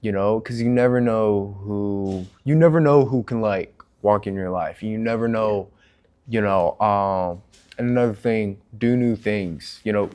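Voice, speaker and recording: A man's voice: on the low side, a little slow, darkish tone, clean tone. A man hosting a casual chat in an informal, hesitant way, often searching for words. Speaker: channel host.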